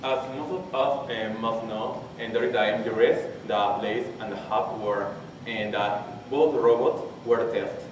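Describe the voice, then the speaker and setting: A man in his twenties from Peru with a Spanish accent, his first language, giving a technical conference talk and haltingly restarting phrases as he searches for English words. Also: on the low side, fairly even intonation. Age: 20s. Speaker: conference presenter. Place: large conference hall. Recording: very echoey hall, distant mic, faint hiss.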